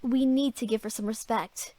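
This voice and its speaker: A woman voicing a popular high school girl in a visual novel, a composed, sweetly placating rival. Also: fairly even intonation, moderate pace, dark tone, dry recording, natural voice. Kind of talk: visual novel character acting.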